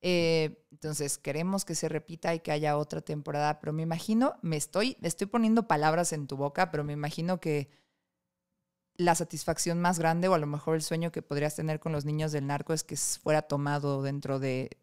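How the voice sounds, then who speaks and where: A woman hosts a casual interview podcast, asking open, curious questions. Her voice is low for a woman, with a smooth and clear tone. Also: steady tone, a little fast, a little mellow. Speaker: podcast host.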